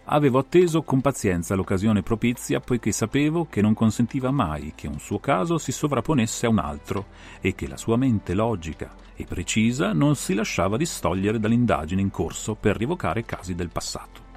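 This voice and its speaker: Low gravelly guy reading an audiobook dramatically, bit of music under him. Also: very animated, normal speed, few pauses, a little mellow. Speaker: audiobook narrator. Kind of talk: dramatized literary audiobook narration. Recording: music behind the voice.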